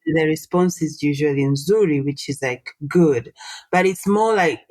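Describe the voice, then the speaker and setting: A low-pitched woman, a Swahili speaker in her thirties, talking in a relaxed interview. She explains things in an animated way and puts questions to her listener. The sound is close and dry, with no room around it. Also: normal speed, no echo. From Tanzania. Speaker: guest, social entrepreneur. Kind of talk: casual interview conversation.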